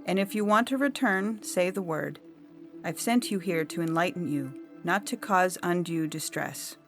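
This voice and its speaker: A composed female judge played by a woman reader speaks in a slightly low voice. Her delivery is formal, measured and coolly condescending. Music runs underneath this science fiction audio story. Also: a bit bright.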